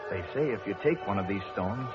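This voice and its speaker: An actor playing a tender young man in love in a 1950s radio drama, speaking softly and romantically. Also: lowish voice, animated, a little fast, over loud music, voice pushed down, lightly acted. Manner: soft, romantic. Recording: dull lo-fi 1950s radio broadcast.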